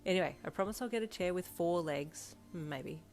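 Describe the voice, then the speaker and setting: A woman voicing a cheeky, casual young girlfriend in a story, with a deadpan, informal way of talking. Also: on the low side, brisk, soft background music, lightly acted.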